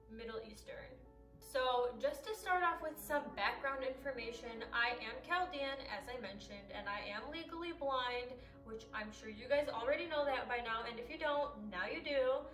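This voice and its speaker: A young woman in her twenties, a native Arabic speaker raised in the United States, talks openly to her camera with a high, bright pitch. She chats easily and laughs often. The sound is roomy and a little distant from the mic, with faint music underneath. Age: 20s. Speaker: vlogger. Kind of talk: candid personal talk to camera.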